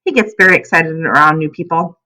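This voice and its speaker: A woman's voice: low-pitched, brisk, pushed and bright. A woman voicing a kindly, jolly, slightly eccentric old grandmother in a children's storybook. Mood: amused. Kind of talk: children's storybook read aloud.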